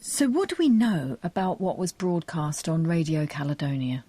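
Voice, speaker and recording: A woman's voice: deep voice, big pitch swings, normal speed, warm tone, husky, no echo. A woman presenting a radio history documentary, moving between scripted narration and interview questions.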